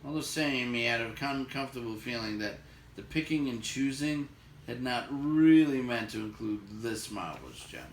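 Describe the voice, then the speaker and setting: A man reading a fantasy novel aloud as an expressive narrator, with dramatic, suspenseful storytelling. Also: medium pitch, moderate pace. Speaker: storybook reader. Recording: echoey room, distant mic, faint noise.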